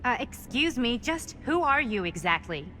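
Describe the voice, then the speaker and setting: A woman's voice: on the high side, big pitch swings, moderate pace, pushed and bright, slight background noise. An actress playing a blunt, questioning, feisty young woman in a cinematic horror game. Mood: indignant. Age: young adult.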